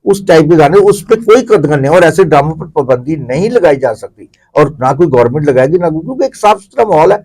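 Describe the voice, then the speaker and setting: A man's voice: on the high side, lively intonation, few pauses, a little mellow, slightly rough. Mature Pakistani man explaining at length. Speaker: interview guest, theatre commentator. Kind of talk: reflective explanatory interview talk.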